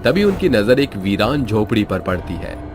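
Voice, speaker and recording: Low-voiced male horror narrator. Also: big pitch swings, normal speed. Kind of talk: scripted horror story narration. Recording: background music under narration.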